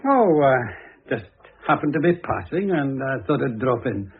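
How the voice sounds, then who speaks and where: Blustering, scheming husband, husky voice. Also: male voice, mid-range voice, very animated, unhurried. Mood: smooth, evasive. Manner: blustering, indignant. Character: irascible, scheming, jealous husband, blustering and sly. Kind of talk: old-time radio drama acting. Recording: muffled, narrow-band old radio recording.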